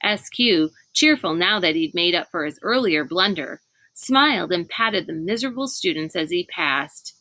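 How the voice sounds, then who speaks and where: A woman's voice: on the low side, lively intonation, moderate pace, bright, forceful tone, dry recording, low-quality recording. A woman reading a children's novel aloud as scripted narration. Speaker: storybook reader.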